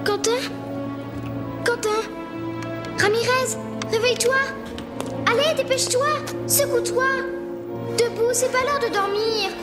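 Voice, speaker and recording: A very high, excitable young girl from a nineties cartoon. She scolds and urges like a bossy kid, with loud music playing behind her. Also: unhurried, long pauses. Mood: urgent. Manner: scolding, urgent. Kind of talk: French-dubbed 1990s cartoon acting.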